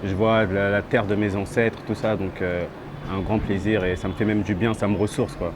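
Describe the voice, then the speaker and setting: A young man from France with a low voice, answering a street interviewer. He speaks in halting bits and slides between French and Lingala. Traffic and city noise run under his voice. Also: level tone. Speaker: rapper guest.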